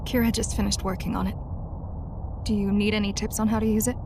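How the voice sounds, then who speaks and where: A woman's voice: medium pitch, level tone, fast talker, noisy background, natural voice. A woman voicing a candid, disillusioned young rebel in a video game, confiding and wistful. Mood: wistful, grateful.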